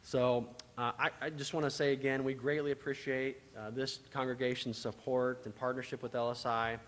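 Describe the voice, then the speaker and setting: A man speaking to a church congregation about his agency's work, pausing often with filler sounds. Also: mid-range voice, flat delivery. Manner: hesitant, many filler uhs. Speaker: church relations speaker for a social services agency. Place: church sanctuary. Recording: distant mic in a reverberant church.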